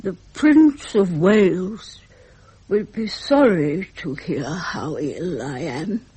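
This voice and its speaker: An actress playing a frail, ailing old queen with a breathy, cracked, faltering voice in a radio drama. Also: on the low side, lively intonation, takes it slowly, mellow, full character voice, rough recording. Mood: frail, plaintive. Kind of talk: British radio drama acting.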